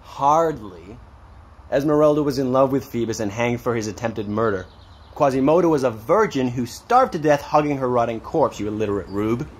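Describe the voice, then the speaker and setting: A man's voice: medium pitch, expressive, moderate pace, close to an everyday voice. Cocky American teen boy played by a man, mid-range, sarcastic banterer, audio drama, ambience behind. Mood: teasing. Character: cocky, teasing, foul-mouthed teenage boy, loyal boyfriend. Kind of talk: naturalistic audio drama acting. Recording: clear background ambient noise.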